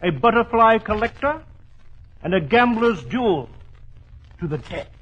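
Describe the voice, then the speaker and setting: A man playing an excitable, genteel English gentleman and storyteller, in 1940s radio drama style. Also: high voice, unhurried, slightly rough, highs cut off, full character voice. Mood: genial storytelling. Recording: noisy vintage 1940s radio recording.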